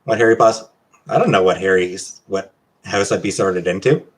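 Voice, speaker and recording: A man with a Canadian accent chatting casually on a livestream as he answers questions, halting and hesitant, often trailing off. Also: low voice. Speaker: livestream host.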